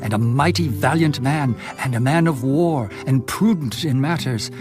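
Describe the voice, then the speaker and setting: A man's voice: medium pitch, normal speed, natural voice. An actor playing a deferential servant advising his king in a dramatized scripture reading. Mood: earnest, deferential. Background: music.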